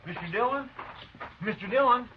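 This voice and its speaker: A man's voice: very high voice, lively intonation, normal speed. An actor playing a lawman's earnest, anxious sidekick in 1950s western radio drama, eager, folksy and respectful. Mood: urgent. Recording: muffled, faintly noisy 1950s radio recording.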